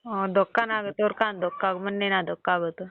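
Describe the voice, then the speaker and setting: A woman's voice: medium pitch, steady tone, normal speed, slight background noise. A woman with a Haryanvi accent in an informal domestic argument on a phone call, insistent and questioning. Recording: muffled narrowband phone call recording.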